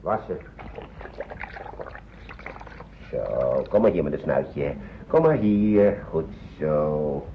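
A fretful kind uncle in an old radio drama, voice swings a lot, noisy dull recording. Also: male voice, extremely low pitch, big pitch swings, slow, exaggerated voice. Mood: cheerful, fatherly. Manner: hesitant, muttering, repeats himself. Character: worried kindly man, an uncle minding children. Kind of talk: old Dutch radio play acting.

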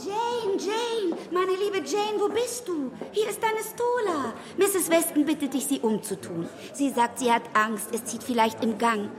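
An actress playing a fussy, effusive middle-aged spinster aunt in a German radio drama, gushing and repeating herself breathlessly. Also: female voice, very high-pitched, a little slow, hardly any pauses. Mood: fussing, grateful. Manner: gushing, breathless, repetitive, exclaiming. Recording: reverberant hall with chattering crowd.

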